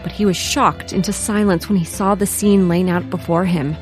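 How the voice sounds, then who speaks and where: A woman's voice: lowish voice, steady tone, moderate pace, faint music behind. A woman narrating a scripted comic story in a wry manner.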